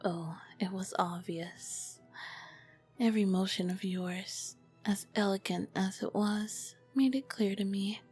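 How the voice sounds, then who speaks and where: A woman playing an ancient, charming, flirty vampire queen in a whispered ASMR roleplay, with elegant, formal diction and a soft breathy voice. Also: medium pitch, steady tone, slow, soft background music. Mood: intrigued, seductive.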